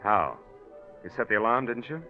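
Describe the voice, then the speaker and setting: Scheming husband in old radio drama. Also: male voice, on the low side, sing-song, moderate pace, faint music behind. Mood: glib. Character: smooth-talking, scheming, impatient husband. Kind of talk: 1940s radio drama acting. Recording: dull lo-fi old radio recording.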